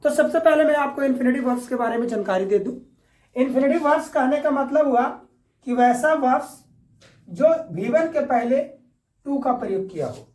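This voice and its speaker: A woman's voice: high voice, lively intonation, normal speed, warm tone. A woman with an Indian accent teaching grammar step by step like a classroom lesson, repeating each point and checking understanding. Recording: echoey room, distant microphone.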